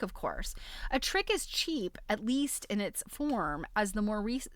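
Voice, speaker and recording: A woman with a mid-range voice reads aloud as a streaming host. Her delivery is expressive and amused, moving between bookish narration and casual, chatty asides. The sound is dry, with very little room in it. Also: medium pitch, dry recording. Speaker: host of a live reading stream.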